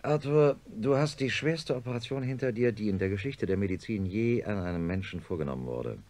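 An actor playing a troubled middle-aged surgeon who narrates and speaks in a radio drama, grave and confiding. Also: male voice, fairly low pitch, lively intonation, fairly quick, a little mellow, close to an everyday voice. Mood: stern.

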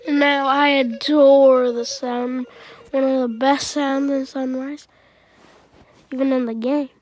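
Slow-talking stuffy-voiced woman reviewer. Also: high-pitched, little pitch movement, a little mellow. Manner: rambling, chatty, clears throat often. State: stuffy from a cold. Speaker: channel host reviewing a music game. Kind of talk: casual game review.

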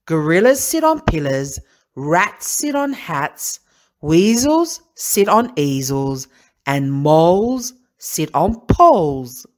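A woman voicing a know-it-all storybook cat who reels off rhymes slowly and emphatically in a read-aloud picture book. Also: sits low, sing-song, heavily acted. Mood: matter-of-fact. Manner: slow, emphatic rhyming.

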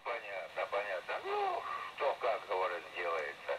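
A man's voice: sits low, wildly swooping pitch, normal speed. An older man chatting casually as a ham radio operator, rambling and repeating himself, his voice processed with reverb over shortwave radio. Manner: rambling, repeats words and phrases. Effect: heard over shortwave radio with added reverb and compression. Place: radio transmission playing from a transceiver in a room. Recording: noisy shortwave radio reception picked up from speaker.

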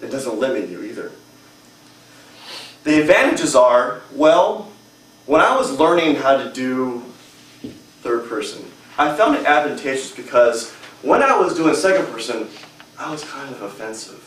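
A man's voice: mid-range voice, very animated, a little slow, pauses often, fairly bright tone, a little hiss or hum. A young adult man giving a humorous prepared speech at a public speaking club, with a strong, emphatic delivery in a hotel meeting room. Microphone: far camera. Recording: echoey room from far off.

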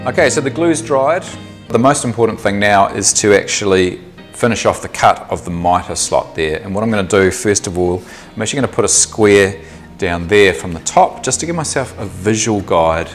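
A man in his thirties with a New Zealand accent, explaining a woodworking project step by step in a workshop, relaxed and thinking aloud with the odd um. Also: fairly low pitch, normal speed, faint music behind. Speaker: woodworking instructor. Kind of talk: workshop tutorial. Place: small indoor workshop.

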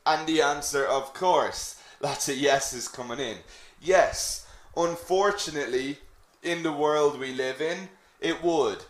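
Slow, chatty Irish man teaching. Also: fairly high pitch, roomy sound. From Ireland. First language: English. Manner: chatty, asks the class questions, frequent "okay?" check-ins. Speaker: English teacher. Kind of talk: online teaching lesson on CV writing.

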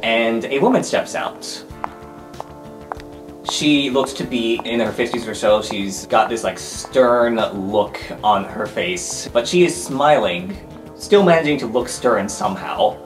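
A man's voice: medium pitch, a little slow. A man narrating an improvised tabletop game as game master. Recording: echoey room, music underneath.

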